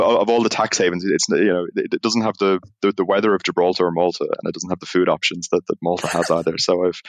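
A man's voice: low voice, fairly bright tone, no room sound. An Irish man chatting as a podcast guest, easygoing and self-deprecating, often restarting his sentences. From Ireland. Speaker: guest, managing director. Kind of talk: conversational interview.

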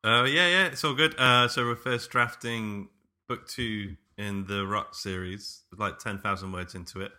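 Lowish, very bright-voiced man chatting casually. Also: normal speed. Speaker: podcast co-host. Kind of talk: casual chat.

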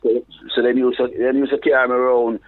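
A man from England with a Jamaican patois accent mixed with London speech, a reggae DJ chatting as an interview guest in a relaxed, halting way. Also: mid-range voice. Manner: relaxed, halting, restarts phrases. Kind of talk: casual interview chat. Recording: phone-like narrow sound.

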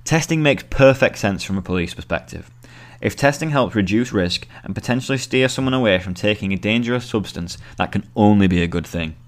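A man's voice: lowish voice, lively intonation, brisk. A man narrating a scripted long-form article as the journalist who wrote it. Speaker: journalist reading own article.

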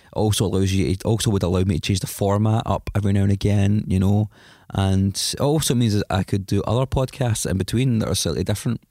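A Scottish man speaks in a fairly low voice, a little stuffed up from a cold. He rambles in a chatty, self-mocking way through his podcast intro. The sound is dry and close. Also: steady tone, fast, mellow, no echo. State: has a cold, sniffly. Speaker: podcast host. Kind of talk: solo podcast intro monologue.

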